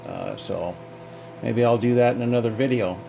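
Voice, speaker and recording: A man's voice: mid-range voice, moderate pace, gravelly, warm tone, faint music behind. A man giving a casual, off-the-cuff technical demonstration in a folksy, hesitant way, thinking aloud as he goes. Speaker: hobbyist demonstrator.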